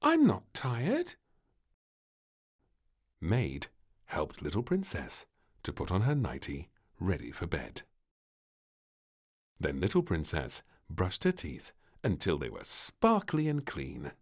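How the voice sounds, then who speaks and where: A man narrating a children's storybook in an expressive, dramatic and deliberate scripted style. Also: extremely low pitch.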